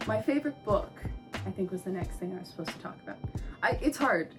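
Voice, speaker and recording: A young woman talks casually and chattily to the camera, dotting her speech with "like" and "um" and giggling now and then. Her voice sits at an ordinary middle pitch for a woman. Clear music plays behind her indoors. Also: animated, fast talker. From United States. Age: young adult. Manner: chatty and giggly, many fillers like "like" and "um". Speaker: co-host, art history student. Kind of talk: casual chat. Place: indoors, a room with no light on.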